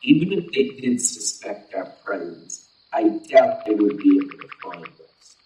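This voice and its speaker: A man playing a proud, restrained alien warrior envoy in an audio play, speaking in formal, measured, deliberate phrases. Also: on the low side, sing-song, dark tone. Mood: assured. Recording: roomy echo, distant from mic.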